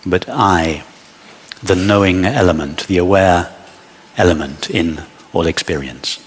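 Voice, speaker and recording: A man leading a guided meditation as a teacher, speaking in measured short phrases with long pauses. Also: sits low, breathy edge, long reverb tail.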